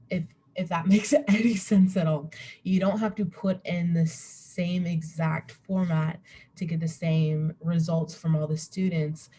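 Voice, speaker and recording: A young woman with a soft, dark-toned voice talks through a student presentation. She rambles a little and corrects herself in an informal way. Her pitch sits on the low side, and a faint noise runs behind her webcam recording. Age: young adult. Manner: rambling, self-interrupting, chuckles at herself.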